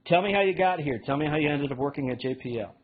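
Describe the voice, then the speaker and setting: A man's voice: a little high, fast, slight background noise. A man hosting a live lecture, introducing the guest and steering with upbeat questions. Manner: upbeat, leads questions with restarts. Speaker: host, moderator. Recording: tinny phone-like line.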